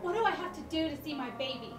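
A woman's voice: high-pitched, lively intonation, fast, over music. An actress playing a desperate young woman pleading to see her baby in a campy comedy. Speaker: actor.

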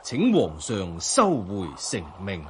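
An actor playing a humble, dignified Buddhist monk in a radio drama, speaking in a measured, formal and modest way. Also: male voice, deep voice, wildly swooping pitch, a little hiss or hum, heavily acted. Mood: humbly declining. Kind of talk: Cantonese radio drama acting.

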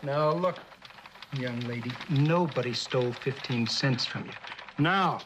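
An actor playing a patient, mildly exasperated police desk officer in an old television drama, plain and official. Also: male voice, medium pitch, a little slow, close to an everyday voice. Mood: official, weary. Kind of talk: 1960s television drama acting. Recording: noisy old TV soundtrack.

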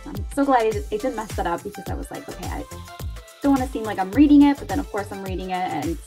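A woman's voice: mid-range voice, lively intonation, fast, warm tone, faint music behind. A woman hosting a podcast interview, talking casually with lots of fillers and joking about herself. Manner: chatty, self-deprecating, many fillers. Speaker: podcast host.

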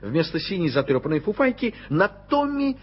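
A man reading the narration of a radio play in an expressive storytelling way. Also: high-pitched, moderate pace, slightly rough. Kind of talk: scripted literary narration. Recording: dull lo-fi radio play recording with music.

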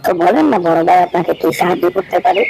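A man's voice: sits high, quick pace, gravelly, background noise. A man voicing an eager, gullible rabbit in a cartoon, deferential and keen to please.

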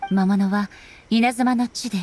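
A woman playing a solemn, dignified female ruler in an anime game, speaking formally and with measured politeness. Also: a little high, steady tone, a little mellow, a little hiss or hum, natural voice. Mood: grave, somber. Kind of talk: anime game dialogue acting.

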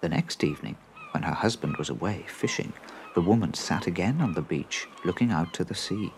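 A man narrating a children's story in an expressive storytelling style. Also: low voice, a little fast, a little hiss or hum, poor audio.

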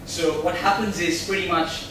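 Ein Mann hält am Rednerpult einen erklärenden Vortrag vor Publikum und stellt zwischendurch Fragen an die Zuhörer. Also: male voice, high-pitched, fairly even intonation, moderate pace. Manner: explanatory, asks the audience questions. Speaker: presenter at a tech meetup. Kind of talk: presentation talk. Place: large meeting room, speaking at a podium. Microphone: far camera mic. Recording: echoey room recorded from far off, noisy.

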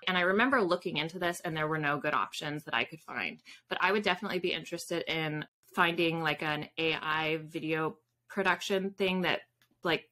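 A woman's voice: lowish voice, fairly bright tone. A woman in her thirties talking as an interview guest in a casual, informal, explanatory way, on a video call from home. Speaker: podcast guest, author. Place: small room at home with bookshelves, video call. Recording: faint background noise.

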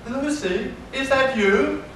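A distant, noisy recording in a very echoey theatre. An actress plays an affectionate woman neurologist, projecting deliberately in a high voice to an old friend. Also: a bit bright. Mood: warm, surprised. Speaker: stage actor. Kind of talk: live stage play dialogue. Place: theatre stage before a live audience. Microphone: far camera. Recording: very echoey noisy hall, far off.

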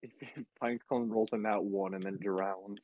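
Lowish-voiced man on a phone-like call. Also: fairly even intonation, moderate pace. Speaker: player in a tabletop roleplay game. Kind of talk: casual chat. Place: online voice call. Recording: thin, phone-like voice call audio.

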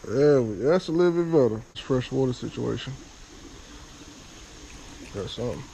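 A man's voice: medium pitch, normal speed, gravelly, slight background noise, poor audio. A man fishing at a small creek under a bridge, giving casual running commentary to himself on a head-mounted camera. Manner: relaxed, muttering to himself. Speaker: fishing vlogger. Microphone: head-mounted action camera.

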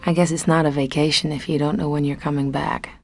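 A woman's voice: low-pitched, level tone, fast, creaky, over loud music. A woman voicing a young woman stranded on a dinosaur island, who talks to herself in a wry, frightened way. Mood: reflective. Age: young adult. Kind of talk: game dialogue and narration.

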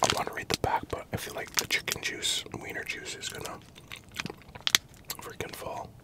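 Whispering man eating in his truck. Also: moderate pace. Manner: whispering, loose and profane, chewing between remarks. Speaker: ASMR creator. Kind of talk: whispered ASMR eating chat. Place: inside a parked pickup truck. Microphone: large desktop mic in the cab, GoPro camera.

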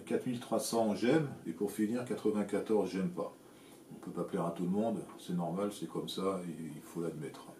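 Low-voiced older Frenchman in an echoey workshop. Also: mellow, a little hiss or hum. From France. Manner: measured, plain-spoken, many hesitant "euh" fillers. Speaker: electronics hobbyist, channel host. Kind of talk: casual explanatory talk to camera. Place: home workshop with shelves of equipment, indoors. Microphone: camera framing at desk, seated.

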